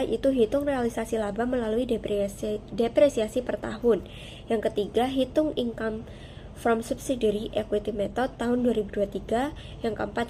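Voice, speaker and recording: A woman's voice: fairly high pitch, dark tone, a little hiss or hum. A young woman giving a prepared class presentation as a student, explaining step by step in a formal, methodical way. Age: young adult.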